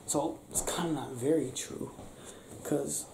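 A young man doing an improvised ASMR boyfriend roleplay, talking softly and affectionately in a breathy, teasing, near-whispered way. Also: fairly high pitch, steady tone, a little slow. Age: young adult. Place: small bedroom, lying on a bed indoors. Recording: roomy sound with faint background noise.

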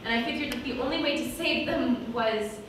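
Actress as a distant, echoey, dramatic villainess. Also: female voice, a little high, a little fast, close to an everyday voice. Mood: wounded, confessional. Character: self-justifying female villain who disowned her Mexican heritage. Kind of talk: theatrical monologue in a comic stage musical. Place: small indoor theatre stage, far camera. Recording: echoey stage from far off, audience noise.

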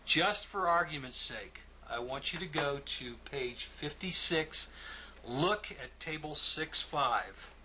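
A man teaching a technical lesson, patient and methodical as he talks through each figure. His voice is bright and forceful at an ordinary pitch. A faint hiss sits under his voice. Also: animated, takes it slowly. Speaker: plumbing instructor. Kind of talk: instructional walkthrough.

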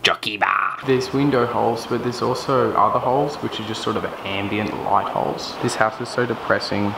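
A man narrating an urban exploration vlog in a casual, sometimes hushed way, with wry asides. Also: on the low side, fairly even intonation, moderate pace, gravelly, background noise. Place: abandoned half-built dome house outdoors and indoors.

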